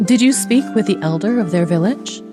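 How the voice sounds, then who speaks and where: A woman's voice: mid-range voice, expressive, brisk, close to an everyday voice. A woman playing a proud, indignant village elder in a fantasy game, with formal, commanding delivery. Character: proud, indignant female village elder rallying her people. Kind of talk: fantasy video game acting. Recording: game music behind the voice.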